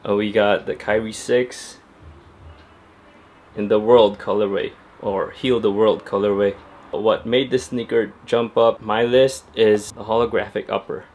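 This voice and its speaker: A man's voice: lowish voice, fairly even intonation, measured pace, darkish tone. A young man talking casually to camera at home about his sneakers, chatty and laid-back with lots of fillers. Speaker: sneaker vlogger. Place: at home indoors.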